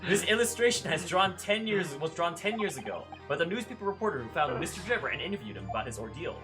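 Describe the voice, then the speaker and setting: A woman's voice: on the low side, sing-song, fast talker, music in the background. A woman voicing an earnest, polite young defence lawyer in an amateur reading of a courtroom game. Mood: explanatory.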